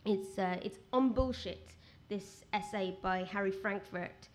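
Quiet-voiced woman, rambling. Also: medium pitch, unhurried, a little hiss or hum. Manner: rambling, animated, many restarts. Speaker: guest writer. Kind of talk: conversational talk at a live event. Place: outdoor event with rain.

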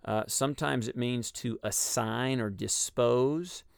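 A man with a Texas accent explaining a Bible passage in a measured, teaching way on a radio discussion. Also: mid-range voice, gravelly, clear, resonant tone, no echo, clean studio sound. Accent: Texan. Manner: measured, explanatory, with frequent ums and uhs.

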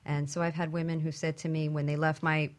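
Interview guest: woman, low register, conversational explanatory answers. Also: flat delivery, fast. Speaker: guest, women's retreat organiser.

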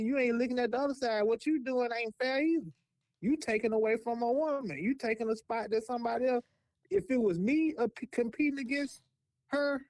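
A woman's voice: on the high side, a little mellow, no room sound. A woman co-hosting a podcast, blunt and emphatic, hammering her points home in a casual debate. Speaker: podcast co-host.

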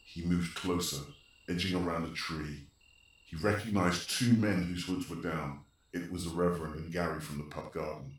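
A man's voice: low-pitched, steady tone, moderate pace, slight background noise, close to an everyday voice. A man performing grave, suspenseful scripted narration for a horror audio drama. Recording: heavily echoing, distant, faint night ambience.

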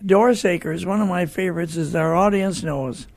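High-pitched gravelly older American radio host. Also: male voice, moderate pace, darkish tone. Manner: emphatic, rhetorical questions, repeats phrases.

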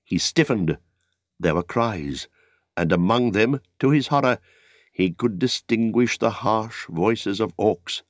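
A man narrating an epic story as an audiobook, in a dramatic, solemn and measured literary style. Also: on the low side, lively intonation, dry recording, studio quality. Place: dry studio.

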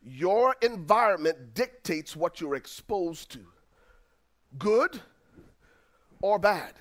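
Lively Liberian-accented man preaching. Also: slow pace. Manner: preaching with dramatic swings, rising to shouts then dropping low. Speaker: pastor. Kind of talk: sermon.

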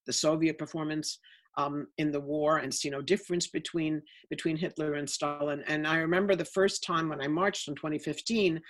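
An American woman speaking as a panelist in an online discussion, emphatic and lively about what she saw firsthand. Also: sits low, normal speed. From United States.

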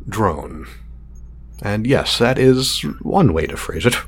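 A man playing a proud, exacting craftsman of android companions in an audio drama, speaking in a formal, measured, condescending way. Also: fairly low pitch, expressive, heavily acted. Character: pompous male craftsman of artificial companion drones, exacting, proud. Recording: clear background noise, sci-fi lab ambience.

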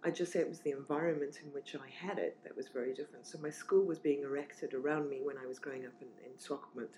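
A woman's voice: extremely low pitch, fairly even intonation, quick pace, dark tone, a little hiss or hum, low-quality recording. A woman in her forties from southern Africa, talking casually and reflectively as an interview guest, with plenty of fillers and restarts. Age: 40s.

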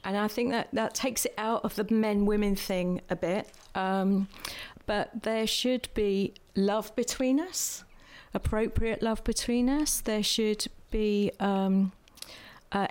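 Earnest woman speaking with measured pauses. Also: mid-range voice, a little mellow. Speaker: church speaker who prophesies. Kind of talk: church panel conversation.

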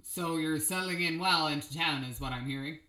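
A man's voice: a little high, fairly quick, sharp tone. A man playing an evasive, chummy old police partner in an improvised noir audio play, coaxing and noncommittal. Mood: guarded. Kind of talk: improvised film noir audio drama acting.

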